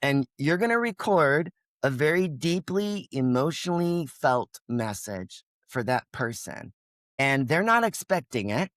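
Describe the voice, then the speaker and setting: A man's voice: a little high, very animated, measured pace, fairly bright tone, no room sound, clean studio sound. Emphatic man speaking with passion. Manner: passionate, emphatic, acts out his inner voice. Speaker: podcast guest. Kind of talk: energetic interview talk.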